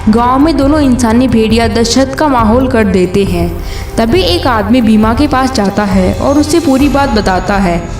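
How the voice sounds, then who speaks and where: A woman with a fairly high voice narrates a scripted children's tale. She sounds roomy and a little away from the mic, with music clearly behind her. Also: lively intonation, normal speed, a little mellow, recorded from a distance. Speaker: storyteller.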